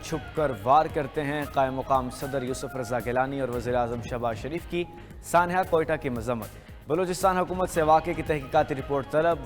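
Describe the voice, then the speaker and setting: A man with a Pakistani accent anchors a news headline bulletin from a script. His medium-pitched voice rings with long reverb, and music plays faintly underneath. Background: music bed.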